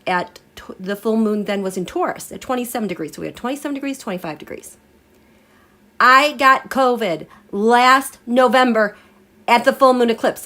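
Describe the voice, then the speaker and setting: Congested American woman, higher voice, loose chatty livestream host who rambles through asides. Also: fairly bright tone. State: congested, recovering from illness. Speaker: tarot and astrology livestream host. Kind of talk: casual live-stream chat.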